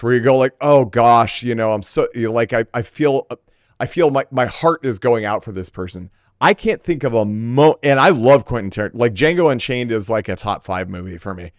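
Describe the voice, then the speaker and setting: A man with a mid-pitched voice, recorded dry and close with no room sound. He talks as a podcast host in a casual, thoughtful way, often qualifying his opinions as he works through an argument. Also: clear, resonant tone, no echo.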